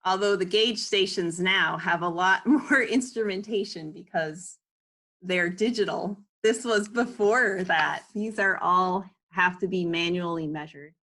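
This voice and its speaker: A woman reading a children's book aloud at home in an unhurried way, explaining things in casual asides as she goes. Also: lowish voice, fairly bright tone.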